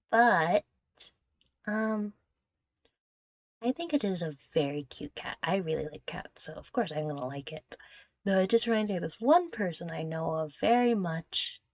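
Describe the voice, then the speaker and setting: A young woman with a fairly low voice speaks close to the mic in a very dry space. She hosts a casual Q&A, wandering through her answers in a giggly, self-deprecating manner. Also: lively intonation, unhurried, long pauses, no echo. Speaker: content creator answering viewer questions. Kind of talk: casual Q&A chat.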